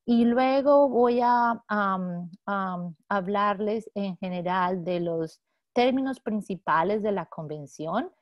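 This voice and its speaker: Woman presenter, very slow delivery, dry close sound, halting with fillers. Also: mid-range voice, no room sound, highs cut off. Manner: halting, many fillers and restarts. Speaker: mediator presenting a webinar. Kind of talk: presentation lecture. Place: home office in San Diego.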